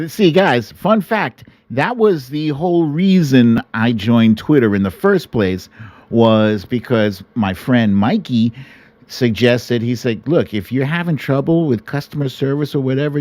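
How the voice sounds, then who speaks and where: A man's voice: medium pitch, darkish tone, no echo, clean studio sound. A middle-aged man hosting a livestream, chatting casually and earnestly to his followers as he tells stories. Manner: chatty, earnest, chuckles and quotes himself animatedly. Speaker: host of a livestream show. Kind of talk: casual livestream chat to followers, telling stories and reading comments.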